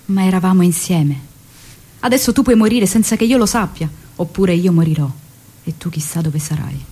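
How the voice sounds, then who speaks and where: An actress playing a devoted, longing young wife of a revolutionary in a theatrical radio drama. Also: female voice, fairly low pitch, expressive, normal speed, warm tone, breathy edge. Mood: anxious longing.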